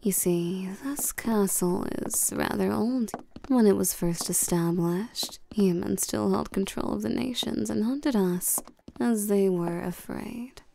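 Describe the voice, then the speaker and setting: A woman playing a regal, seductive and domineering vampire queen in a scripted roleplay audio drama, coaxing and commanding the listener with soft menace. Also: medium pitch, slow pace, vocal fry, a little hiss or hum. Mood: smooth, probing.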